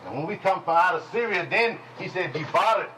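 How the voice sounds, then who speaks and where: A man's voice: high voice, expressive, a little fast, background noise. Folksy preaching man, call-and-response. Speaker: teacher. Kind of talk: preaching Bible lesson. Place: congregation hall.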